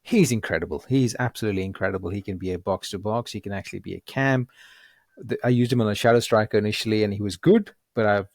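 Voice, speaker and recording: Chatty low-voiced podcast co-host man. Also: fast talker, a little mellow, no room sound, clean studio sound. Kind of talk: casual podcast chat.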